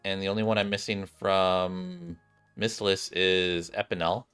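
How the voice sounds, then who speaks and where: A man's voice: low voice, unhurried, a bit bright, smooth. A man hosting a gaming video, giving casual, chatty commentary addressed straight to his viewers. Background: game music.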